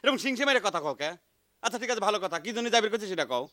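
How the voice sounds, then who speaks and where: An indignant, sarcastic village doctor in a comic TV drama. He talks very fast and high in a rural Bangladeshi dialect. The sound is dry and close. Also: animated, sharp tone, no room sound. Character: huffy village doctor fussing about fees.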